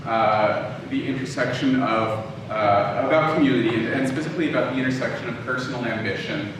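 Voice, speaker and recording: A man giving an informal lecture to an audience, speaking in a hesitant, halting, low-key way. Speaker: guest lecturer, audio drama producer. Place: echoey room, live talk before an audience. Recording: echoey room, distant, clearly noisy background.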